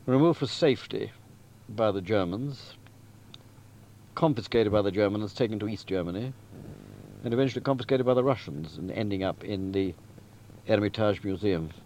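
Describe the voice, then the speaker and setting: A man giving a deliberate, emphatic and articulate spoken summary of a story, like a novelist outlining a book. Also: lowish voice, a little mellow, slight background noise. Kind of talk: spoken plot summary, literary talk.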